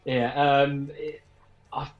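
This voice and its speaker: A man with a medium-pitched voice talks casually about playing in a band. The recording is dull and lo-fi. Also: level tone, takes it slowly. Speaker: band member being interviewed. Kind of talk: casual interview chat. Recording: dull, lo-fi podcast audio.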